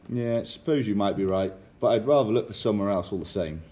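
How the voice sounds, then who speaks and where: Nervous young brother, low-voiced man acting. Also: quick pace, warm tone, lightly acted. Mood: uneasy, reluctant. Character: nervous, imaginative young brother, jumpy and suspicious. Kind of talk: amateur radio drama acting.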